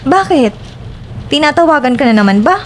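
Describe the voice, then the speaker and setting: A woman's voice: high voice, animated, moderate pace, a little hiss or hum. A woman with a Filipino accent acting a sympathetic, questioning young roommate in an audio drama. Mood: puzzled.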